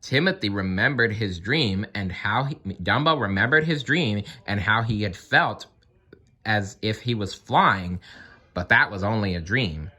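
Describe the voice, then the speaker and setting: A man narrating a children's story aloud in an expressive storytelling style. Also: lowish voice, a little slow, slightly rough. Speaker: story reader.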